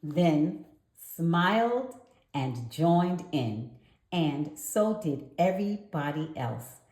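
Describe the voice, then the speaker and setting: A woman reading a children's story aloud in an animated narration, with deliberate dramatic pauses. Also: low voice. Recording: echoey room.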